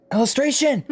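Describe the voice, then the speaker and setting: Excitable male gamer, wildly swinging pitch. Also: vocal fry, breathy edge. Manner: slangy, excitable, reacting aloud. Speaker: gaming video host. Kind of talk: casual let's play commentary. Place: home, near a barking dog.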